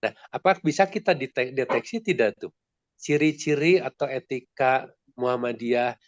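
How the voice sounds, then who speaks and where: A man's voice: medium pitch, moderate pace, no room sound. Man, stuffy nasal voice, polite, restarts often, dull dry video call sound. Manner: polite, rambling, many restarts. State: nasal and congested from a sore throat. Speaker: discussion participant. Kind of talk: online book discussion.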